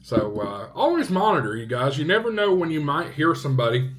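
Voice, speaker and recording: A man talking casually to viewers as a vlog host, in an unhurried, halting way with short phrases. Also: fairly high pitch, big pitch swings. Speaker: vlog host, ham radio hobbyist.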